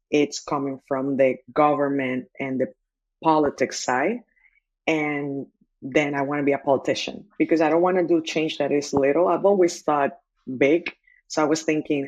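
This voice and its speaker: A woman from the Republic, telling her story as a guest in an interview, fluent and animated with fillers and restarts. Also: low voice, dull audio. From Dominican Republic. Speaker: guest, tech entrepreneur.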